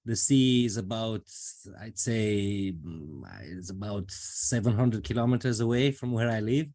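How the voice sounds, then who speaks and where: A man from Romania who speaks English as a second language, a guest talking through his work in a relaxed, thoughtful way with many pauses and fillers. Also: on the low side. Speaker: project manager, guest. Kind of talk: casual interview.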